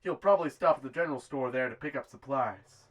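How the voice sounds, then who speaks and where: An actor playing the calm, menacing leader of a western gang on a pursuit, casual and controlled. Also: male voice, medium pitch, fast talker, slight background noise, close to an everyday voice. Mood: measured. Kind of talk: amateur western film acting.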